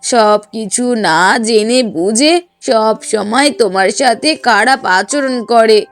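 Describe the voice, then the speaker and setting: A woman's voice: fairly high pitch, takes it slowly, clean tone. A woman voicing a fair-minded, fretful middle-aged mother-in-law in a cartoon, earnest and admonishing. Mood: worried resolve. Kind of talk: Bengali cartoon voice acting.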